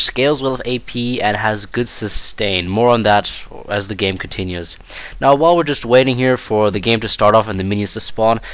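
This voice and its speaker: A man's voice: mid-range voice, fairly bright tone, dry recording. Young man with German first language. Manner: hesitant, many fillers and restarts. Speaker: gameplay commentator. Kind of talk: casual game tutorial commentary.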